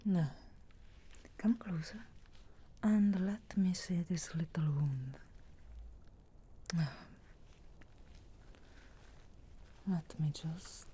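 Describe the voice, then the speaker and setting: A woman playing a sharp-tongued, sarcastic, reluctantly caring witch in a soft whispered ASMR fantasy roleplay, with hushed musing hums and deliberate pauses. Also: low voice, a little hiss or hum. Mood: teasing, tender.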